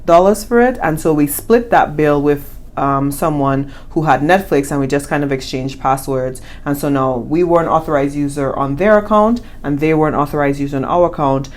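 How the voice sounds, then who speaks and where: A young woman with a notably low speaking voice talks in a casual, animated way. She leans into her points with playful emphasis, co-hosting a relaxed chat on saving money. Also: moderate pace. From born in the US, family from the Caribbean. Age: young adult. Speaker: co-host of a personal finance channel.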